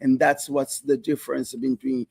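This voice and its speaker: A chef from South Africa talks in a halting way, full of fillers and restarts. His voice has a gravelly edge. The sound is dry and close. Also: mid-range voice, level tone, darkish tone, no echo. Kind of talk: casual TV interview chat.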